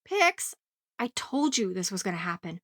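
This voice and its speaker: A woman's voice: mid-range voice, sing-song, moderate pace, a bit bright, dry recording, studio quality. A woman playing an angry, curt witch in an audio drama. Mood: irritated. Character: angry, bickering female witch. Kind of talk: audio drama character acting.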